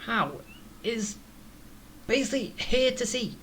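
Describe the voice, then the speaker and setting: A woman's voice: on the high side, lively intonation, slow pace, a little hiss or hum. A woman giving casual, offhand gameplay commentary, musing aloud as a video game streamer.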